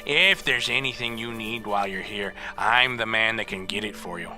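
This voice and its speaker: Audio drama sidekick, male, acted by a man: fretful wisecracker, extremely bright tone, mid pitch, music underneath. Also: normal speed. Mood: hesitant, wry.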